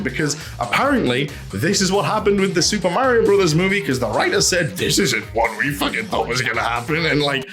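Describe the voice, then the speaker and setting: A man with a fairly high voice hosts a casual video essay over background music. He talks in an animated, opinionated rant, throwing out rhetorical questions and swearing freely. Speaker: host of a video essay channel.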